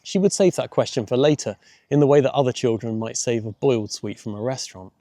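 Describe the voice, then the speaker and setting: A man's voice: mid-range voice, fast, mellow, no echo, clean studio sound. A man reading a children's audiobook as its narrator, in scripted storytelling style.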